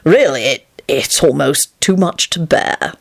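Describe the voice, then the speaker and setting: A woman's voice: deep voice, expressive, unhurried, creaky, exaggerated voice. A woman voicing a mild, patient man in a storybook, speaking in a hesitant, dryly understated way. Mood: awkward politeness.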